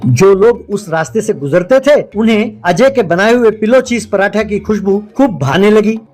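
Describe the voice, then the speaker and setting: A man's voice: sits high, animated, moderate pace, a little mellow, faint music behind. A man narrating a scripted moral story for a cartoon.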